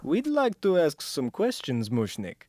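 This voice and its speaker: An actor playing a pompous, sarcastic Soviet official in a comic radio play, officious and emphatic. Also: male voice, on the high side, huge pitch swings, measured pace, background noise.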